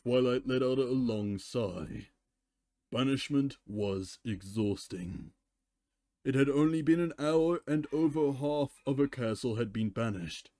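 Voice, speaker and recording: A man's voice: medium pitch, measured pace, warm tone, dry recording. A man giving a live dramatic reading of fan fiction as narrator. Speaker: story reader.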